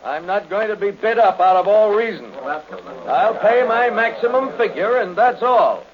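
An actor playing a blustery wealthy brewer and collector, formal and emphatic, in 1940s radio drama style. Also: male voice, high voice, animated, moderate pace, crowd noise. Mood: exasperated, firm. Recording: muffled, hissy old radio recording.

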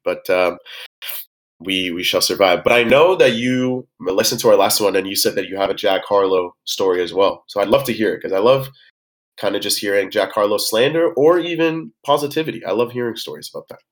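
Stuffy-nosed guy in his 20s, deepish voice, chill podcast chat over a call. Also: a little fast. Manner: loose, rambling, swears casually. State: congested. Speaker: podcast host. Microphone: headphones on a voice call.

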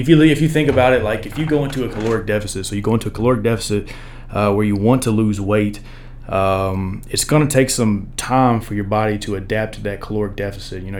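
A man with a deep baritone voice, a podcast guest explaining things in loose, rambling casual chat and hedging as he goes. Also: a little mellow.

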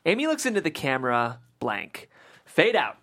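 A woman's voice: low voice, huge pitch swings, moderate pace, fairly bright tone. A woman reading stage directions as scripted narration at a screenplay table read.

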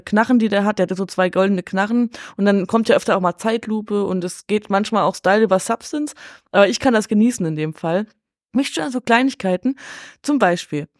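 A woman's voice: mid-range voice, no room sound. Casual, opinionated woman, dry close sound. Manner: colloquial, opinionated. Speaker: podcast guest. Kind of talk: casual film discussion.

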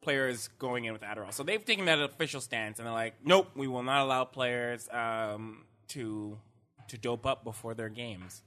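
A man presents an esports news segment in a loose, rambling podcast chat. His voice is bright and forceful, and his delivery is relaxed, full of asides and laughter. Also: medium pitch, moderate pace.

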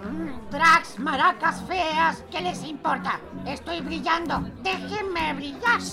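A woman's voice: high voice, expressive, slow pace, over music, full character voice. A woman acting a randy, foul-mouthed, brazen old man in his eighties, in campy, melodramatic parody radio theatre. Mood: defiant insults. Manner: bratty, insulting, melodramatic.

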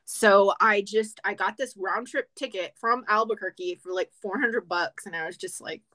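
A woman co-hosting a relaxed podcast chat with a voice of middling pitch. She talks in a playful, sarcastic way and breaks into laughter often. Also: normal speed. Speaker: podcast co-host. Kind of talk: casual chat.

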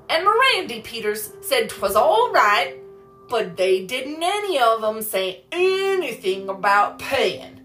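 A woman voicing a hungry, sturdy young boy in a storybook, indignant and plaintive, with drawn-out, halting delivery. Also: high-pitched, fairly bright tone, voice pushed up, heavily acted.

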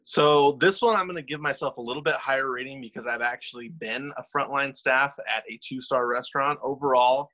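Guy cohosting a sports podcast, bright punchy voice, kinda muffled lo-fi audio, rambles on. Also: medium pitch, fairly quick, few pauses. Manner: chatty, self-deprecating, rambling with fillers. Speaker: podcast co-host. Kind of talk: casual chat.

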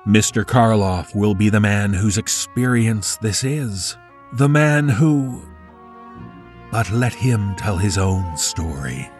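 A male announcer delivers a scripted introduction in old-time radio style. He is formal and theatrical, with music playing softly behind him. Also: on the low side, lively intonation, slow.

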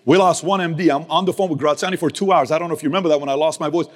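A man's voice: on the high side, steady tone, very fast. Fired-up man preaching in a hall. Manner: emphatic, repeats key phrases, swings from loud to hushed; casual street slang and mild cursing. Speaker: business leader speaking to his team. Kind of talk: motivational speech.

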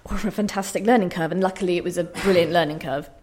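A woman's voice: lowish voice, quick pace, a little mellow, slight background noise. A young woman giving reflective interview answers in a hesitant, self-deprecating, thoughtful way. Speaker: playwright being interviewed.

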